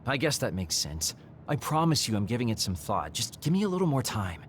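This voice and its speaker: A man's voice: medium pitch, sing-song, brisk, a little hiss or hum, close to an everyday voice. An actor voicing a laid-back, evasive man in an English-dubbed anime game.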